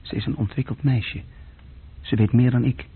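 A man's voice: mid-range voice, fairly even intonation, normal speed, breathy edge. An actor playing an uneasy, insecure young junior clerk who tells his story hesitantly and intimately in a radio play. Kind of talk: radio play acting, intimate first-person narration. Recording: dull, muffled old radio recording.

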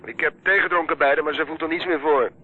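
An actor playing a chatty, brisk man giving news over the telephone in a radio play. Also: fairly high pitch, fairly even intonation, breathy edge. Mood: breezy. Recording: thin, narrow-band old radio recording.

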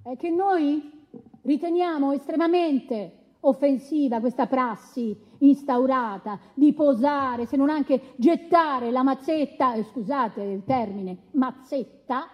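A woman's voice: high-pitched, slow, muffled recording. An actress playing a combative, principled female lawyer who argues pointedly in a courtroom radio drama. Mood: indignant. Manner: pointed, formal argumentation.

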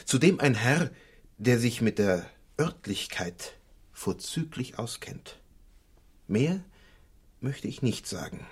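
An actor playing a shrewd, measured provincial police commissioner in a radio crime drama, deliberate and pointed. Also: male voice, mid-range voice, sing-song, slightly rough, exaggerated voice. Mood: grave, pointed.

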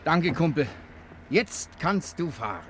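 An actor playing a confident, daring rogue who passes as a sailor in a 1960 German radio crime drama. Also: male voice, on the high side, very animated, slow, a bit bright, heavily acted. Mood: hearty, brisk. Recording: noisy vintage radio drama recording.

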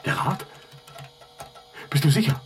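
A man's voice: slow, faint music behind, lightly acted. A man playing a curt, nervous, cursing doctor in a radio drama. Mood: tense, irritable. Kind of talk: tense radio drama dialogue.

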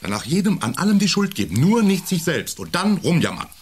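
An actor playing a domineering, self-important husband around forty who needles and belittles in an intimate German radio play. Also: male voice, high voice, very animated, a little fast, slight background noise. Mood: contemptuous, agitated. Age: 40s. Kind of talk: intimate radio drama dialogue.

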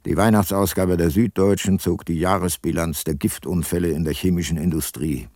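Radio play lead: grave older detective, lowish pitch, close dry sound, reflective and deliberate. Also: male voice, steady tone, a little mellow, no echo. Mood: sombre, reflective. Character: brooding older male detective, grave and resolute. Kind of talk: radio drama dialogue and first-person narration.

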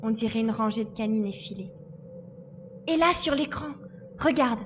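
A woman playing a spirited, resourceful young girl in a dramatised audiobook, lively and assured in her delivery. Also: on the high side, moderate pace, natural voice. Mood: focused. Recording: faint background noise.

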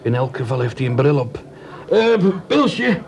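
An actor playing a down-to-earth, sceptical jobless bricklayer who likes food and drink, blunt and plain-spoken, in a radio play. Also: male voice, mid-range voice, big pitch swings, normal speed, a little hiss or hum. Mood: mocking. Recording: café crowd behind the voice.

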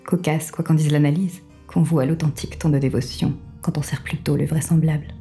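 Low, dark-voiced woman as ironic storyteller. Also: fairly even intonation, faint music behind. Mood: wry, detached. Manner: formal, measured, ironic. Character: detached, ironic female storyteller of a dark dystopian tale. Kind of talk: scripted literary narration.

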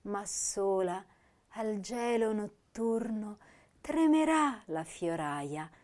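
Sensitive young poetess, female, slow delivery, measured lyrical declamation, intense, radio drama recital. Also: mid-range voice, darkish tone. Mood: anguished pleading. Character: intense, hypersensitive young woman poet voicing her verse.

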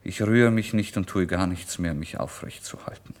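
Destitute proud man, lowish pitch, feverish, dramatic, brooding to indignant, literary radio monologue. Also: normal speed. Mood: hushed, exhausted brooding. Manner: dramatic, swinging from hushed brooding to indignant outbursts.

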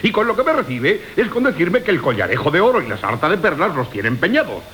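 An actor playing a boastful, wily rogue in a classical comedy radio play, florid and mock-courteous. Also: male voice, on the high side, sing-song, quick pace, fairly bright tone. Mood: indignant boasting. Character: boastful, wily swaggering rogue of old Seville. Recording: hissy old radio recording.

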